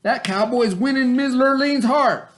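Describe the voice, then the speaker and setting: A man puts on a jealous, scheming cowboy from a comic western storybook. The voice jumps well above his own pitch. The talk is folksy and emphatic. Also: unhurried, fairly bright tone. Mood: urgent, scheming. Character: jealous, scheming cowboy brothers and wicked daddy.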